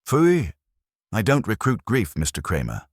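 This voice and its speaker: A man's voice: lowish voice, wildly swooping pitch, a little slow, no echo, clean studio sound, pitched above the performer's own voice, full character voice. A man voicing a pompous, unflappable private detective in an audiobook, formal and commanding. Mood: cool disdain. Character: pompous, imperturbable genius male private detective.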